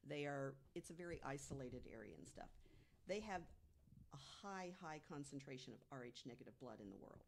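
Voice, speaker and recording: A woman with a low-pitched voice talks as a panelist on a podcast. She lays out her material like a storyteller, deliberately and conversationally, pausing for emphasis and posing rhetorical questions. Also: expressive, vocal fry, a little hiss or hum. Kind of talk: conversational storytelling about mysteries.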